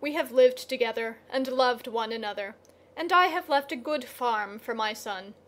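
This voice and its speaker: A woman's voice: a little high, moderate pace, a bit bright, natural voice. A woman voicing a plain-spoken mortal farmer, a loving husband. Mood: quiet, bitter.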